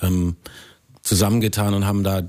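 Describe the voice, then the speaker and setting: Deep, dry-sounding German man chatting. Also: little pitch movement, a little fast, darkish tone, no echo. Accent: German, native. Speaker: actor, interview guest. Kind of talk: casual radio interview. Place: radio studio.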